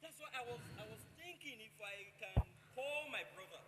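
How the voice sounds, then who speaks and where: Man playing a timid, high-voiced male servant. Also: lively intonation, moderate pace. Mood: hesitant, pleading. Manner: hesitant, stammering, pleading. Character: timid, flustered, deferential male house servant. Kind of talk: stage play acting. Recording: clearly noisy stage recording.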